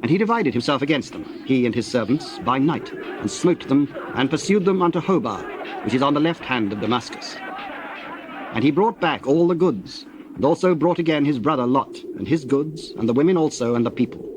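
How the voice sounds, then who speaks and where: A man narrating scripture from a script in a measured, solemn manner. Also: medium pitch, lively intonation, noisy background.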